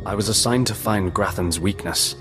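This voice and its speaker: A man acting a gruff undercover military operative from a sci-fi game. He speaks in a deep voice, curt and condescending, with needling sarcasm, over clearly audible music. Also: normal speed, natural voice. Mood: businesslike.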